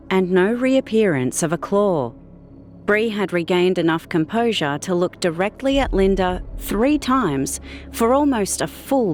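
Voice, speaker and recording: A woman reading a fictional story as an audiobook narrator, in a measured, deliberate storytelling manner. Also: fairly low pitch.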